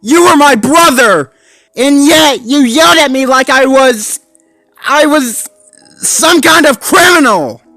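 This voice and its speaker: A furious, heartbroken pup. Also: male voice, high voice, unhurried, no room sound, soft background music, voice pushed up. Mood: furious shouting. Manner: shouting through tears, then choked and pleading. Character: young male dalmatian pup, clumsy, hurt and furious.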